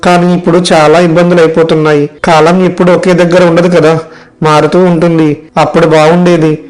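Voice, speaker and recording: Man playing a weary, worried young son, flat delivery. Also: fairly high pitch, fairly quick, close to an everyday voice, low-quality recording. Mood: weary, complaining. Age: young adult. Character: weary, worried young male software worker, dutiful son. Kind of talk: animated moral story dialogue.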